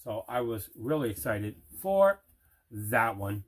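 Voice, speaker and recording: A man's voice: fairly low pitch, big pitch swings, slow. Man casually showing off his movie collection. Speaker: host of a movie collection unboxing show. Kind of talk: casual unboxing chat.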